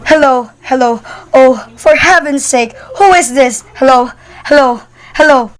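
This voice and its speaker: Woman acting a high-pitched, fretful invalid wife. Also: measured pace, a little hiss or hum. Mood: impatient, anxious. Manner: exasperated, complaining, dramatic. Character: nervous, demanding bedridden invalid wife, irritable and frantic. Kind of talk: amateur radio play acting.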